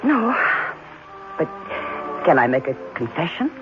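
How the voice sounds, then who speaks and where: Animated, devoted young fiancée. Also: female voice, low-pitched, a little slow, background noise. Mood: playful, delighted. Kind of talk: old-time radio drama acting. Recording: dull vintage radio recording, music behind.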